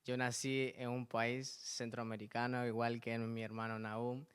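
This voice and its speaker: A young man with a very smooth, resonant voice is a guest on a church program. He speaks calmly and evenly, with little rise and fall. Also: medium pitch, normal speed. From Nicaragua. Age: young adult. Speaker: guest, usually behind the camera.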